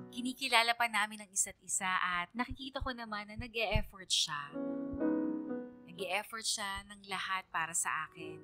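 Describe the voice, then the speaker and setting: A woman's voice: mid-range voice, close to an everyday voice. A woman acting an earnest young woman who confides her love story in scripted radio narration, sincere and confessional. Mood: content. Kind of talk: scripted first-person radio letter narration.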